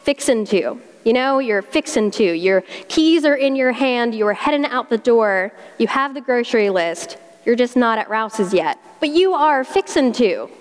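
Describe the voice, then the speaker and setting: A woman's voice: a little high, lively intonation, normal speed, few pauses. Southern woman preaching in a reverberant church. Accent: South Carolina. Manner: folksy, conversational preaching with Southern expressions. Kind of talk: sermon. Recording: long hall reverb.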